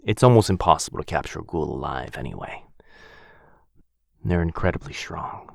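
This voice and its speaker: An actor playing a protective, brooding vampire lord in an intimate ASMR roleplay, speaking in a hushed, measured, halting way close to the mic. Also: male voice, animated, no echo, exaggerated voice. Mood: somber, apologetic. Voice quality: low, gravelly. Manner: hushed, measured, halting pauses, often dropping to breathy murmur. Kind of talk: boyfriend ASMR fantasy roleplay, intimate one-sided dialogue. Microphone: close microphone, dry.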